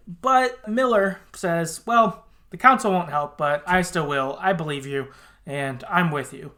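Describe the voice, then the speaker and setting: A man's voice: on the high side, slow pace, sharp tone. A man hosting a podcast, chattily recapping a story in informal, sometimes sweary language with loose colloquial asides. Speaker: podcast host. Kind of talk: casual chatty retelling of a game's story.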